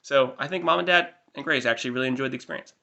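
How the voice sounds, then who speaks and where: A man's voice: medium pitch, racing, creaky, pushed and bright, highs cut off. A nasal young man giving a wry, rambling recap of a family trip in mock-formal wording. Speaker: vlogger.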